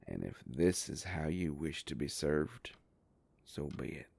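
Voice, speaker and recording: Very deep, gravelly werewolf detective, acted by a man. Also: very animated, a little mellow, dry recording, full character voice. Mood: cold warning. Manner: slow, drawling, threatening, folksy tough-guy talk. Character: gruff werewolf private investigator, protective, menacing, sardonic male boss. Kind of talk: dramatic roleplay audio monologue.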